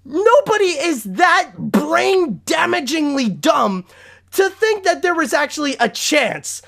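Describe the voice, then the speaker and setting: High-pitched man ranting with big swings. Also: sing-song, takes it slowly, vocal fry, fairly bright tone. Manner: emphatic, exasperated. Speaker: animation commentator. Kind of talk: opinionated rant.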